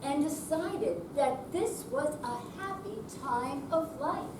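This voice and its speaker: A woman performing a readers theater piece, delivering short narrative phrases in a measured way with pauses. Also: high-pitched, expressive. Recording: roomy hall, distant mic, background noise.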